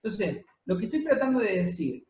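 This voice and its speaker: A man preaching a sermon to a congregation, explaining at a measured pace with many pauses and fillers. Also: high-pitched, very animated, a little mellow. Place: church hall, congregation present and streamed. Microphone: handheld or stand microphone. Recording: echoey room.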